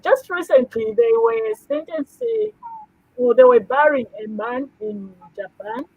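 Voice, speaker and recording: A woman's voice: high-pitched, very animated, measured pace, gravelly, no room sound. A woman guest on a talk show, chatting in a rambling, emphatic way and repeating words as she goes. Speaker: pastor's wife guest. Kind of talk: casual talk show chat. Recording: tinny phone-like call audio.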